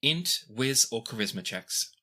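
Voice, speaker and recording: A man's voice: mid-range voice, animated, a little slow, bright, forceful tone. A man acting as game master, narrating scenes and talking casually through the rules of a tabletop game.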